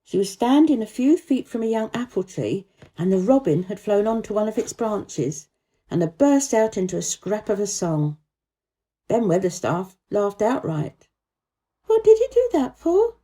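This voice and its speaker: Female storyteller for children's book narration, very mellow, expressive pitch movement. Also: normal speed.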